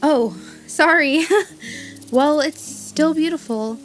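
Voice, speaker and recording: A woman's voice: high-pitched, slow, soft background music. A woman playing an earnest, awkward survivor in an audio drama, emotional and hesitant in her delivery. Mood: shy, smitten.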